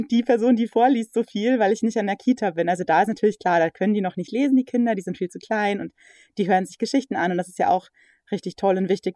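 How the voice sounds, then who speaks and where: A woman guest in a casual interview, talking in a relaxed, rambling way with many fillers. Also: mid-range voice, dry recording, clean studio sound. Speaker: guest, magazine editor.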